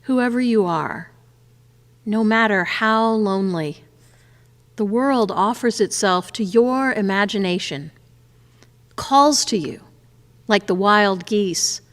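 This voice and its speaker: Slow woman reciting in a reverberant hall. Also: mid-range voice. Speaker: poetry reader. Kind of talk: slow, measured poem recitation. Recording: long reverb of a church hall.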